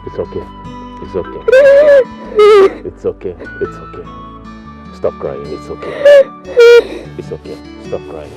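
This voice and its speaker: An actor playing a gentle man soothing a weeping relative. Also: low voice, fairly even intonation, soft background music. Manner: soothing, slow.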